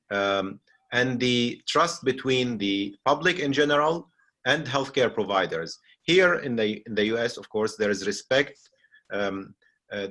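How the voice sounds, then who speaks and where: A middle-aged man with a Syrian Arabic accent, moderating a seminar as a physician and speaking off the cuff with frequent pauses. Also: fairly low pitch, fairly bright tone. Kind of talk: unscripted seminar discussion.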